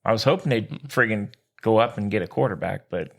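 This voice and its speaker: A man's voice: lowish voice, a little fast, creaky, fairly bright tone. Casual, slangy man talking sports. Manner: informal, slangy, exclamatory, addressing others as man and dude. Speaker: sports podcast co-host.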